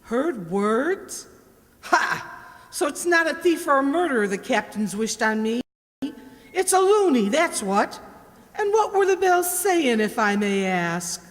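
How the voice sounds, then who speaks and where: A woman playing a shrill, scolding household cook. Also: very animated, unhurried. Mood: mocking. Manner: bossy, mocking, scornful. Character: gruff, scolding, sarcastic household cook woman in old London. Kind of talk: amateur radio play acting. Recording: echoey hall, long reverb.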